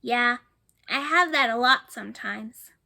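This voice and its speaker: A woman with a high, light voice reads a children's storybook aloud. She pauses to think aloud and to put questions to her young listeners. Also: creaky, sharp tone. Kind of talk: picture book read-aloud with asides to listeners.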